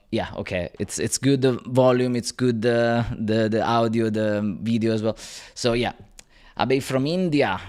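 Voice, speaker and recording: A man's voice: fairly low pitch, a little mellow, clear, resonant tone. A man in his thirties hosting a casual livestream, greeting viewers by name and chatting with lots of fillers and restarts. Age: 30s. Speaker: YouTube channel host. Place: rented apartment.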